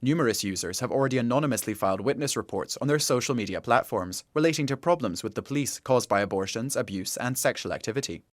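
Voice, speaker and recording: A man narrating a radio documentary feature as the reporter, in a calm, measured scripted delivery. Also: medium pitch, no echo, clean studio sound.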